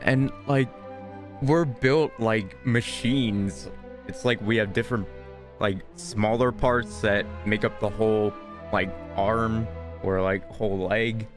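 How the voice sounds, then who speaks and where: Man, low voice, offhand game streamer, rambling casual commentary, soft music behind. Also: fairly even intonation, slow pace.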